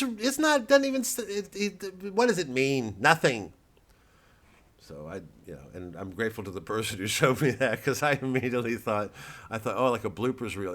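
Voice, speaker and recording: A man's voice: medium pitch, a bit bright. A man in his fifties from the United States, giving a rambling, sardonic and profane comedic monologue.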